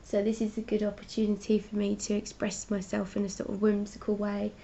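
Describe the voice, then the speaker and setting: A woman's voice: medium pitch, completely flat delivery, fairly quick, creaky, dark tone, slight background noise. A woman artist with a cold and a stuffy voice, chatting casually as she hosts her own live stream and explains her paintings. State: congested with a cold. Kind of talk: casual art chat, showing paintings.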